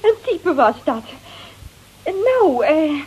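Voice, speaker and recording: Casting: a brash, rattled wife in a radio play, high-pitched and very swoopy, hesitant and coarse-tongued. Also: female voice, very animated, slow, darkish tone, heavily acted, low-quality recording. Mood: flustered, defensive. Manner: hesitant, colloquial, peppered with little tags. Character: brash, rattled married woman confessing under threat, coarse-tongued. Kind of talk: Dutch radio play dialogue.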